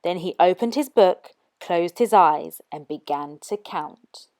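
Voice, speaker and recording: A woman's voice: lowish voice, expressive, slow pace, a little mellow. A woman presenting storytime, reading aloud expressively for children.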